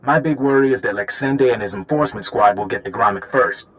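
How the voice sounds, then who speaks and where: A man's voice: mid-range voice, fairly quick, natural voice. A man playing a wry, easygoing field agent in a video game, talking informally. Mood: frank. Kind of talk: video game dialogue acting.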